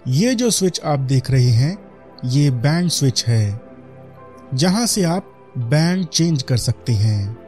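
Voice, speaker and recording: A man's voice: mid-range voice, big pitch swings, takes it slowly, darkish tone, faint music behind. A man with an Indian accent giving a product demonstration voice-over as a reviewer.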